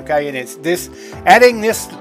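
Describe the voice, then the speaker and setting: A man's voice: high voice, expressive, normal speed, music in the background. A middle-aged man giving casual, folksy gameplay commentary, chatting informally to his viewers. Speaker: gaming streamer. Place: indoors at a computer desk, webcam.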